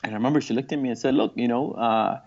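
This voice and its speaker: A man around forty from Singapore, talking over his past in an interview, pausing and restarting as he thinks. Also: mid-range voice, steady tone, darkish tone. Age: late 30s or 40s. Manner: thoughtful, halting with restarts and fillers. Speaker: guest. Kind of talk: reflective interview talk. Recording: muffled lo-fi audio.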